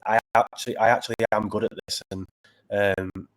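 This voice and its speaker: Low-voiced Northern English battle rapper. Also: male voice, little pitch movement, low-quality recording. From Preston, England. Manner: earnest, halting with many restarts. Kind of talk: casual interview chat.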